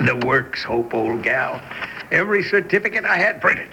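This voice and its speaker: An actor playing a gleeful, sly Old West swindler in a radio drama, with a folksy American way of talking. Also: male voice, a little high, expressive, normal speed, gravelly, pushed and bright, heavily acted. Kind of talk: 1970s Western radio drama acting. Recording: slightly noisy old radio broadcast.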